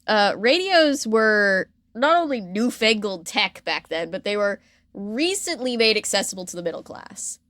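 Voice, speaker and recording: A woman's voice: medium pitch, a bit bright, no room sound. Casual, wry woman podcaster, dry close sound. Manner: informal, wry, jokey asides. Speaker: podcast host. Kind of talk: casual chat retelling pop culture history.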